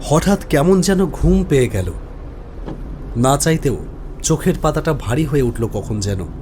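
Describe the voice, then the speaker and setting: A man's voice: a little high, animated, unhurried, a little mellow, slight background noise. A man narrates a scripted first-person horror story.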